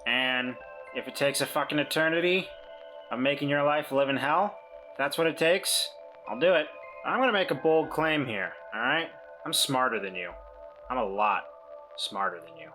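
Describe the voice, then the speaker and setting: Game podcast player, sharp bright tone, middle pitch, wry, casual, faint music. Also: normal speed. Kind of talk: casual chat and improvised role play.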